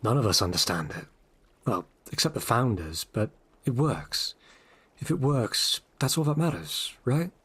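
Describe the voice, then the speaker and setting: An actor playing a calm, assured, persuasive guide in a sci-fi audio drama, speaking in a low-key, coaxing way. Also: male voice, unhurried, husky, full character voice. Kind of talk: full-cast audio drama acting.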